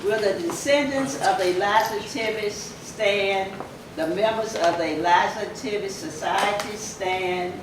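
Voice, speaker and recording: A woman speaking as a society president, giving a formal, measured address at a ceremony. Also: medium pitch. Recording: echoey hall from far off, noisy.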